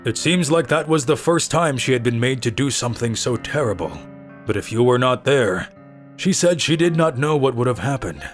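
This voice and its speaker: A man's voice: mid-range voice, animated, lightly acted. Serious, formal middle-aged father played by a man. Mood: grave, grateful. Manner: formal, grateful, measured. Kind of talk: dubbed manga story acting. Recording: music under the voice.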